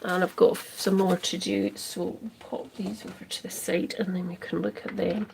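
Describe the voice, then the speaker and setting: Soft-spoken Scottish woman crafting live. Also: lowish voice, steady tone, vocal fry, slight background noise. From Scotland. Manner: chatty, reads and answers viewer comments while working; slow, soft, drifting into near-whispers as she concentrates. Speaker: craft livestream host. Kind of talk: casual crafting livestream chat.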